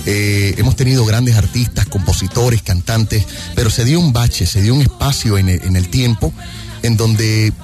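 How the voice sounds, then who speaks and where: A man's voice: fairly low pitch, moderate pace. A man hosting a radio interview, chatty and affirming, asking long winding questions. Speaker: radio host. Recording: radio broadcast with music behind.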